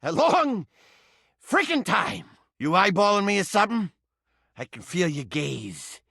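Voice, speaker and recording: Shrill, cranky Brooklyn rat trap. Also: male voice, big pitch swings, a little slow, creaky, bright, forceful tone, slightly rough, no room sound, clean studio sound, exaggerated voice. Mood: brooding. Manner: abrasive, wisecracking, theatrical street-tough delivery. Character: cranky, foul-mouthed talking rat trap, brash and overdramatic. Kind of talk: exaggerated comedic video game character acting.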